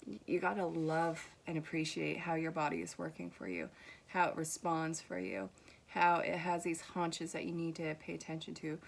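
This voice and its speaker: A woman's voice: low-pitched, flat delivery, normal speed, gravelly. A young woman with a blended Vermont and New York accent, giving a casual, reassuring tarot reading and reading card messages aloud to her viewers. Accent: mixed Vermont and New York. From Vermont, USA. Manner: warm, reassuring, gently teasing, reads card texts aloud. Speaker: tarot reader.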